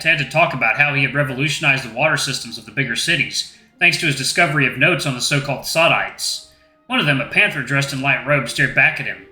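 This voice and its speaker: A man's voice: medium pitch, pushed and bright. A man hosting a gaming channel, reading a visual novel aloud with casual asides to viewers. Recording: echoey room, faint music.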